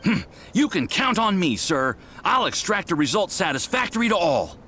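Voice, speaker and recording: A man's voice: high-pitched, big pitch swings, moderate pace, bright, forceful tone, slight background noise, exaggerated voice. An actor playing an eager, deferential young male lab assistant in a video game, earnest and flustered. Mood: dutiful.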